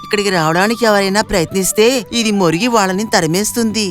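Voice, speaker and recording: A woman playing a poor, caring wife and mother in an animated moral story, soft-hearted and concerned. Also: medium pitch, quick pace, faint music behind, close to an everyday voice.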